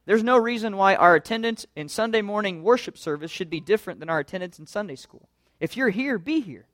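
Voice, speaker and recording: A man with a fairly high voice preaches to his congregation, earnest and conversational, asking question after question. The sound is close and dry, with no room around it. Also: lively intonation, brisk, fairly bright tone, no echo. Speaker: pastor. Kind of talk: sermon.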